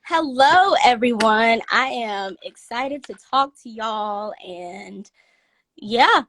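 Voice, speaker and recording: A woman's voice: fairly high pitch, lively intonation, slow, fairly bright tone, slight background noise, low-quality recording. A woman from North Carolina with a light Southern accent, talking earnestly and reflectively as a guest on a casual live stream. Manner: earnest, reflective, laughs easily. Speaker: guest, content distributor.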